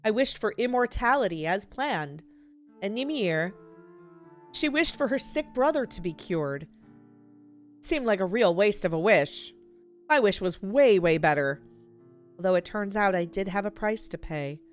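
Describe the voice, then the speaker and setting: A woman's voice: mid-range voice, expressive, measured pace, long pauses, soft background music, natural voice. A woman voicing a vain, dismissive, skinless immortal villain who recounts memories in a visual novel game. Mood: boastful, dismissive. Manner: dismissive, grumbling. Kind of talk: reading visual novel dialogue in character.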